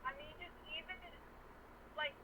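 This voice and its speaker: A woman's voice: very high-pitched, expressive, moderate pace, noisy background. A woman in a discussion speaking emphatically and heatedly, with many "like"s. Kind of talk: casual discussion.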